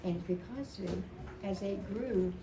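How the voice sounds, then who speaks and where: A woman in her seventies giving an informal, rambling and emotional personal tribute at a memorial, telling stories in a halting way. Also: mid-range voice. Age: 70s. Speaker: widow speaking at a memorial. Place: indoor family memorial gathering. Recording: echoey, distant mic, noisy gathering room.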